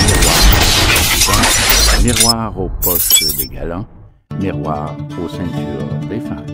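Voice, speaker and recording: A man narrating verse fables as a storybook audiobook, in a measured, theatrical recitation. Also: low voice, fairly bright tone, no room sound, studio quality.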